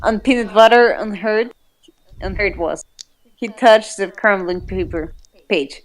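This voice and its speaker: A woman's voice: mid-range voice, unhurried, poor audio. A woman reading fan fiction aloud in a casual, dramatic way, giggly and often breaking off to joke. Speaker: fan fiction reader, co-host.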